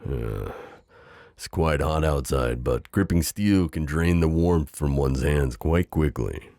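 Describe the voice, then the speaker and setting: A man's voice: extremely low pitch, slow pace, dark tone, no echo, studio quality, heavily acted. A man playing a protective, possessive knight, speaking in a formal, courtly, tender and hesitant manner in an intimate roleplay audio. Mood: tender, teasing. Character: protective, possessive gallant knight, mentor to a princess.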